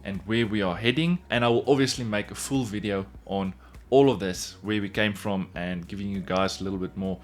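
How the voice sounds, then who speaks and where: A man's voice: fairly low pitch, fairly even intonation, brisk. A man whose first language is Afrikaans, speaking English with an Afrikaans accent, earnestly telling his own story straight to the camera in a casual vlog. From South Africa. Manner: earnest, repeats "in all honesty". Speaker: woodworking YouTuber.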